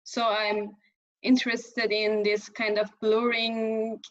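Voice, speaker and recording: A woman's voice: on the high side, flat delivery, takes it slowly. A young adult woman, a native Farsi speaker, chatting casually as a workshop participant on a video call. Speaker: workshop participant, university student. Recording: dull lo-fi video call audio.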